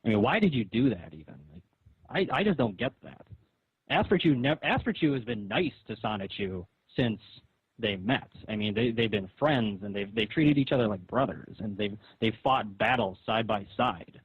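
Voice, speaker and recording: A man arguing on a phone call in a halting, repetitive, reproachful way, as a comic artist. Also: on the low side, expressive, breathy edge. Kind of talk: argumentative phone conversation. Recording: dull roomy phone call recording.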